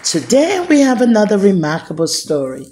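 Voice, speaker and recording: A woman hosting a children's storytime, reading a picture book aloud in a deliberate, expressive storyteller's delivery. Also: mid-range voice.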